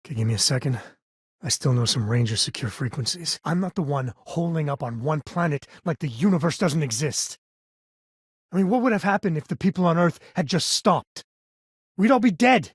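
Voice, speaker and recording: A roguish good-hearted space smuggler dad in a video game, really raspy husky voice, wry casual talk, dry studio sound. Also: male voice, medium pitch, lively intonation, normal speed, dry recording. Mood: relaxed, matter-of-fact, then heated, animated. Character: roguish, good-hearted space-faring ex-ranger and devoted father. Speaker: video game companion character. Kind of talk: video game voice acting, conversational dialogue.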